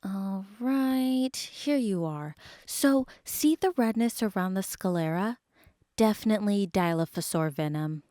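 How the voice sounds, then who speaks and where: A woman acting a caring vet in an audio drama. She is brisk and matter-of-fact, mid-pitched with lively swings, recorded dry. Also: dry recording, natural voice. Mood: brisk concern.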